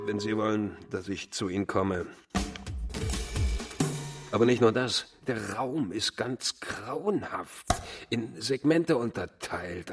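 An actor playing a dying tech tycoon in a radio drama monologue, imperious, fastidious and scornful. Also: male voice, lowish voice, husky. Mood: disgusted. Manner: demanding, disgusted, theatrical emphasis.

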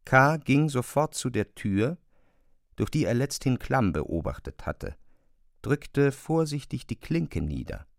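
A man narrating a literary radio drama with measured, descriptive storytelling. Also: mid-range voice, sing-song, gravelly, no echo, studio quality.

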